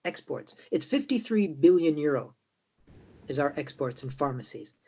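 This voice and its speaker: Irish male party member, breathy-husky voice, rambling conversational delivery. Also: on the high side, big pitch swings, normal speed, mellow. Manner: rambling, with many "like" and "right?" tags. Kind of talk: casual political discussion.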